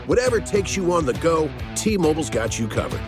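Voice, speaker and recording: A man reading an ad voice-over in a smooth, upbeat way. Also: on the high side, sing-song, brisk. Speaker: announcer. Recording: ad with guitar music.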